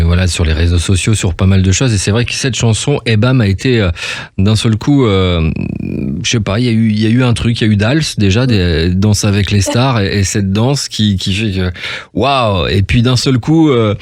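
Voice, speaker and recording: A man's voice: lowish voice, moderate pace, a little mellow, dry recording, studio quality. A man hosting a radio interview in a casual, chatty way, rambling enthusiastically from one aside to the next. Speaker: radio host interviewing a guest. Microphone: close studio radio microphone.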